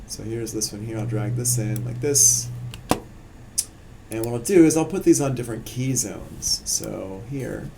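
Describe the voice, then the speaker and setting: A man teaching music production in a casual, relaxed live-streamed software tutorial, explaining as he works on screen. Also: fairly low pitch, measured pace, creaky, noisy background.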